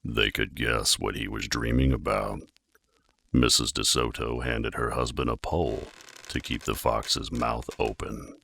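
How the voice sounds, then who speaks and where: A man narrating a children's story in an exceptionally low, creaky voice. The tone is bright and forceful, and the storytelling is measured and expressive. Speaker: audiobook narrator.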